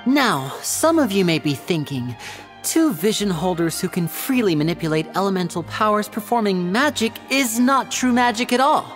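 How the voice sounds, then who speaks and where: A woman's voice: medium pitch, lively intonation, normal speed, faint music behind, lightly acted. A woman voicing a polished, charming young male stage magician in a video game, polite and theatrical like a showman. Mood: theatrical showmanship. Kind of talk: video game character acting.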